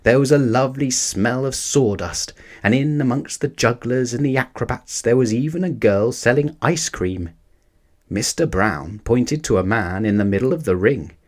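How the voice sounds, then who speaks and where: A man narrates a picture book for young children. His delivery is that of an expressive read-aloud storyteller, with a middling pitch. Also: normal speed.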